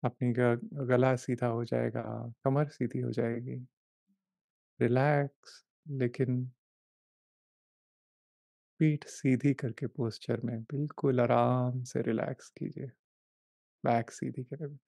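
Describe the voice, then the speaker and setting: A man leading a guided meditation, giving gentle, unhurried instructions in short repeated phrases with long pauses. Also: mid-range voice, steady tone, vocal fry, dry recording. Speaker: meditation guide, life coach.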